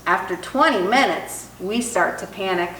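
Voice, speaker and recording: A woman reading a children's picture book aloud in an expressive, animated storytelling style. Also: medium pitch, normal speed. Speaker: storytime reader. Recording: roomy echo, distant mic, faint noise.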